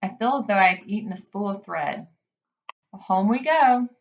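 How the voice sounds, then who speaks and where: A woman's voice: medium pitch, lively intonation, moderate pace, dull audio, lightly acted. A woman voicing a grumbling, self-pitying old male rat from a children's storybook, with a mocking, complaining manner. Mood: grudging. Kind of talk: children's storybook character reading.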